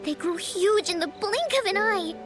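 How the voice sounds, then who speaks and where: Very high, excitable young inventor girl. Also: moderate pace, over music. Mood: flustered, pained. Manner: theatrical, gushing, exclamatory. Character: excitable, dramatic young female beauty-product inventor, bubbly and proud. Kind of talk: video game cutscene acting.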